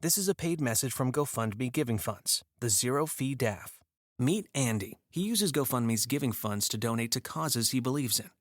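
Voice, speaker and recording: Man, mid-pitched, scripted announcer read, very dry studio sound. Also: expressive, moderate pace, dry recording.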